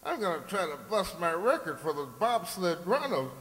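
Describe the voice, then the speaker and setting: A cheeky old-timer in radio comedy, with a very high voice that swoops around freely. He brags in a folksy, boyish way, taking his time in a reverberant hall. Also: very animated, normal speed, a bit bright. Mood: cheeky, eager. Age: older. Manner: folksy, boastful, calls people "daughter". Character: spry, cheeky old man full of boyish bravado. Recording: reverberant community stage hall.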